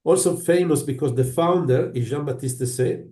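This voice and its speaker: A middle-aged man with an Italian accent from northern Italy, a professor being interviewed over a video call and talking in a measured way with many uhs. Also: a little high, warm tone. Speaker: guest, business school professor. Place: home in London. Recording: echoey room on a video call.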